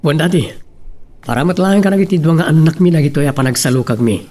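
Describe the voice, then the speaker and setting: A man's voice: a little high, lively intonation, fast talker, warm tone. A man with a Filipino accent playing an earnest, responsible young husband in an audio drama. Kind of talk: Ilocano radio-style drama acting.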